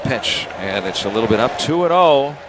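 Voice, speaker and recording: A man with an American accent calling live baseball play-by-play on the radio in clipped phrases with pauses between pitches. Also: lively intonation, noisy background.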